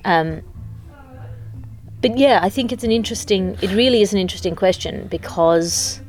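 A woman's voice: medium pitch, moderate pace, a little mellow, soft background music. A woman comedian chatting backstage, talking things through in a thoughtful, analytical way. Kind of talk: casual backstage chat. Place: backstage at a venue.

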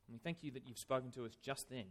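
A man preaching a conversational sermon in a church, chatty and self-deprecating, with lively swings of emphasis. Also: medium pitch, quick pace.

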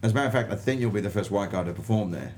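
A man's voice: on the low side, racing, a little mellow, soft background music. An Australian man, a stand-up comedian being interviewed, telling anecdotes casually in an emphatic, sweary way and acting out the dialogue. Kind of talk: animated anecdote in casual conversation.

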